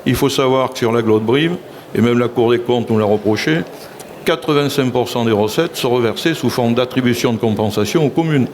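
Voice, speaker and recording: A man's voice: medium pitch, a little fast, a little mellow, a little hiss or hum. A man, a local elected official, speaking assertively in a public panel talk and often saying "si vous voulez".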